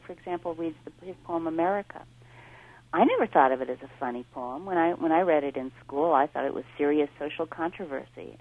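A woman guest on a radio talk show with a very low pitch. She talks in an eager, reflective way, often restarting her sentences. The sound is dry and narrow, like a phone line. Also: no room sound. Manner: enthusiastic, thoughtful, with restarts. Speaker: studio guest.

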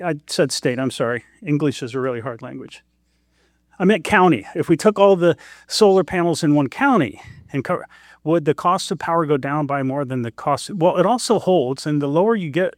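A man's voice: on the high side, no echo, studio quality. A man giving a conversational talk as an economist to a farm audience. He quizzes the crowd and jokes at his own expense. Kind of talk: conference presentation to a farm audience.

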